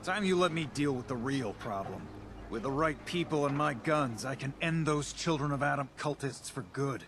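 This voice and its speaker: A man playing a bitter, hot-headed gun seller in a video game, with a combative, gruff and blunt manner. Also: medium pitch, animated, moderate pace, music in the background, lightly acted. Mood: angry, defiant. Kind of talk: video game dialogue acting.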